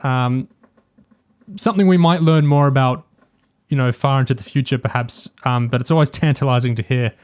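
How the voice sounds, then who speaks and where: A man's voice: medium pitch, normal speed, darkish tone, clear, resonant tone. Man musing in casual podcast discussion. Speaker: podcast co-host.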